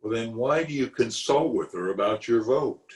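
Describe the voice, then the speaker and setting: A man's voice: mid-range voice, animated, normal speed, reverberant, natural voice. A man playing a pompous, easily exasperated middle-aged official in an old-time radio comedy, recorded at home over a video call. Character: blustery, exasperated middle-aged male water commissioner, pompous and opinionated.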